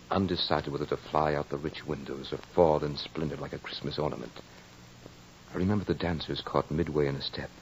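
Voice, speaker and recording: Brooding low-voiced courtier, old radio. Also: male voice, a little mellow, a little hiss or hum. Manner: measured, florid, dramatic. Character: brooding aristocratic French courtier recounting a fatal duel. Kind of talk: 1940s radio drama monologue. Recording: muffled old radio recording.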